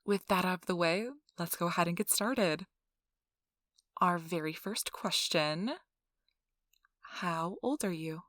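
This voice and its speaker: A woman's voice: fairly low pitch, slow pace, long pauses, a bit bright, dry recording, studio quality. A woman playing a chatty, officious and reassuring interviewer at a vampire blood donation center in an ASMR role-play. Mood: polite, businesslike.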